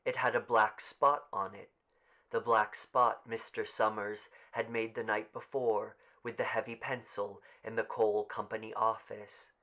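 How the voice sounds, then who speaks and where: A man's voice: on the low side, flat delivery, measured pace, sharp tone. A man narrating literary fiction as a scripted audiobook reading.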